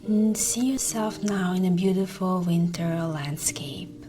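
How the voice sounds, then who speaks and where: A woman leads a guided meditation in a soft, slightly low voice. She speaks very slowly and gently, often close to a whisper, with a soothing, breathy delivery. Music plays clearly behind her words. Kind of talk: slow guided meditation narration.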